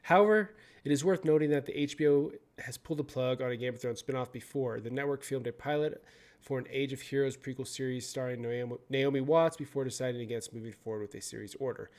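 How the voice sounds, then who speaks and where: A young man hosts a relaxed commentary video, reading entertainment news aloud and adding his own opinions. He trips over words now and then, swears casually, and his pitch sits in the middle. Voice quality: profane, stumbles over words while reading. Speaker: video host.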